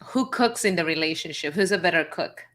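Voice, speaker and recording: Bright-voiced woman hosting a live interview. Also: fairly low pitch, expressive, fairly quick. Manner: warm, affirming, laughs easily. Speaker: host.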